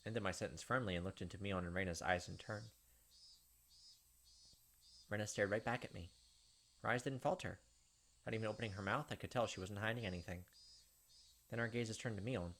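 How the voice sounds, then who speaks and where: A man's voice: on the low side, fairly quick, long pauses, a bit bright, slight background noise. A man hosting a gaming video, reading visual novel narration and commenting casually.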